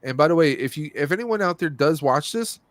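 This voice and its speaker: A man's voice: on the high side, animated, a little fast, dry recording. Man chatting casually, close dry sound. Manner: rambling, conversational, often circling back and rephrasing. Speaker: podcast host. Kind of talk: casual live-stream movie review.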